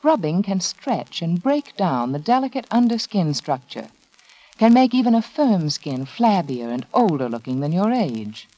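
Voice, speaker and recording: A woman with a mellow, fairly low voice delivers a vintage 1940s radio commercial. She is confiding and persuasive, with lively intonation on her scripted lines. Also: measured pace, poor audio. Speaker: commercial spokeswoman.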